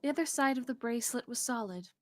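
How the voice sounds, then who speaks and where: A woman's voice: a little high, lively intonation, fast talker. A woman streamer reading a visual novel's narration aloud, with casual asides. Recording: faint background noise.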